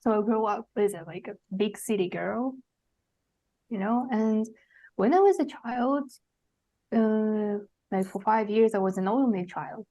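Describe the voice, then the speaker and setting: A woman's voice: mid-range voice, a little slow, warm tone, low-quality recording. A young woman from Kazakhstan telling her life story as a podcast guest, rambling with many fillers and restarts. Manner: meandering, full of fillers and restarts. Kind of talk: casual storytelling.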